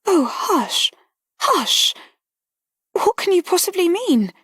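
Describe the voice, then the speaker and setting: A woman's voice: extremely high pitch, very animated, takes it slowly, a bit bright, no echo, voice pushed up, exaggerated voice. A woman voicing a distressed young lady who trembles, pleads and sometimes drops to a whisper. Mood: tearful. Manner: breathless, pleading, breaking into whispers.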